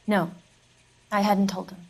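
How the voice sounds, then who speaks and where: An actress playing a hard-bitten, defensive 1940s American widow in a video game, curt, dry and unsentimental. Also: female voice, mid-range voice, little pitch movement, a little slow, creaky, darkish tone, breathy edge, rough recording. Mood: bitter, defiant. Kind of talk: dramatic video game acting.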